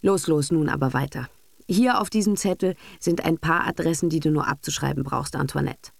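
A brisk, self-assured husband and father as a woman reader acts him, all business and cynicism in an audiobook. He is bossy and sardonic, with a creaky voice in a dry, close sound. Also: low voice, no room sound. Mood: impatient, dismissive. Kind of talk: literary audiobook character acting.

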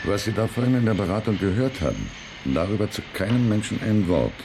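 A man's voice: on the low side, animated, fairly quick, background noise. An actor in a radio drama playing a menacing, furtive workman and party member, secretive and threatening. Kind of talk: German radio drama acting.

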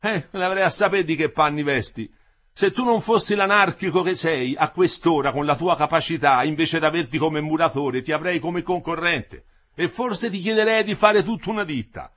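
A man voicing a middle-aged male building contractor, smooth-talking and paternal, speaking up in a raised, persuasive voice. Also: on the high side, moderate pace, a bit bright. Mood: teasing.

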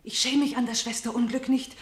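Actress playing a loving young sister-princess, earnest, pleading, high-pitched, roomy reverb. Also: female voice, steady tone, brisk, natural voice. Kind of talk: classic stage radio-play acting.

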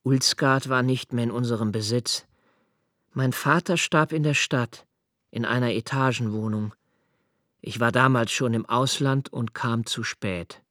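An actor playing a melancholy, observant young man, narrating a literary radio drama in a measured, introspective way. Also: medium pitch, a bit bright, dry recording, studio quality, lightly acted. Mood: subdued, melancholy. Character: melancholy, observant young man recalling his father's death.

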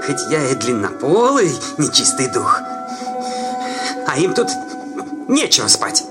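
An actor playing a jealous, grumbling village sexton who nags in folksy, church-tinged speech in a radio play. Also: male voice, medium pitch, huge pitch swings, a little slow. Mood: nagging, impatient. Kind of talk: Russian radio play acting. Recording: loud music behind the voice.

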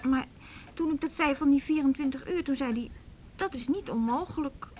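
An actress playing a hurt, defiant young runaway girl in a naturalistic radio drama, telling things in a halting, wry way. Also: high voice, dark tone, highs cut off, slight background noise, lightly acted. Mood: resigned.

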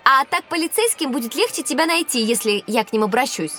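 A woman playing a cautious, sensible and somewhat bossy young schoolgirl in an educational children's audio drama, expressive and admonishing. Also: sits high, fairly quick, soft background music.

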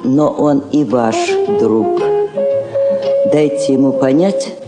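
An actress playing an elderly Soviet woman ambassador in a 1960s radio drama, courteous and measured, shrewd and firm beneath subtle irony. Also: very deep voice, expressive, full character voice. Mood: courteous, sly. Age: older. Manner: measured, diplomatic, subtly ironic. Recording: roomy vintage drama recording with music.

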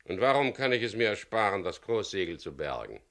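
A man's voice: lowish voice, big pitch swings, a little fast, a bit bright. A man playing a defiant yacht skipper under suspicion in a radio crime drama, with a dry, challenging, ironic way of talking. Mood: curt, questioning.

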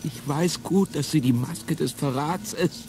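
A man's voice: fairly high pitch, a little slow. An actor playing a fearful, tormented man who pleads in anguish in a radio play. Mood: afraid, pleading. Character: fearful, tormented man pleading against his own betrayal. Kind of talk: slow dramatic radio play speech. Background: music.

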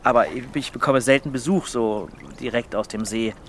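An actor playing an awkward, flustered poet in an audio drama, hesitant and often correcting himself. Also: male voice, mid-range voice, normal speed, a bit bright, slight background noise, natural voice. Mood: curious, sheepish. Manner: hesitant, stammering, self-correcting. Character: awkward, flustered, self-effacing male poet. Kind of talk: audio drama dialogue and letter narration.

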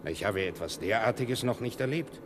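A man's voice: lowish voice, animated, normal speed, natural voice. A man acting in a German radio drama as a practical, matter-of-fact waterworks supervisor, with noise behind him. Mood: concerned, assured. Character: steady, practical male night waterworks supervisor.

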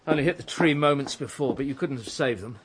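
A sombre chief inspector played by a man in a radio play. His voice is medium-pitched and his delivery blunt and matter-of-fact, over a faintly noisy recording. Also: quick pace, natural voice. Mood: grim. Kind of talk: radio drama acting.

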